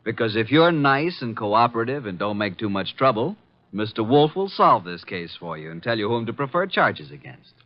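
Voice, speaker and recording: A man's voice: mid-range voice, sing-song, normal speed, natural voice. A man playing a wisecracking, streetwise detective's assistant and narrator in an old-time radio drama, wry and cocky. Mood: mock polite. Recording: dull vintage radio drama recording.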